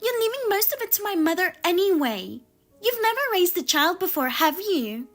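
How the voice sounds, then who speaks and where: A woman voicing a pushy, scornful sister-in-law who is a working mother, talking down to her in a sharp, mocking way. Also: very high-pitched, big pitch swings, normal speed, soft background music, pitched above the performer's own voice, exaggerated voice. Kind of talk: dramatized story reading.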